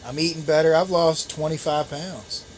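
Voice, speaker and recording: A man in his late thirties chatting casually on a fishing podcast, relaxed and rambling. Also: a little high, normal speed, crowd noise, poor audio. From Chesapeake Bay area, US. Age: 30s. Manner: relaxed, rambling, with restarts and laughs. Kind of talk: casual chat. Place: on a boat out on the water.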